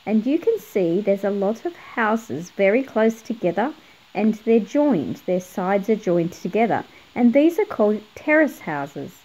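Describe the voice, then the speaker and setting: A woman's voice: medium pitch, sing-song, measured pace, dark tone, low-quality recording. A middle-aged woman narrating a picture book for children, explaining things and putting questions to young viewers. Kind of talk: read-aloud narration for children.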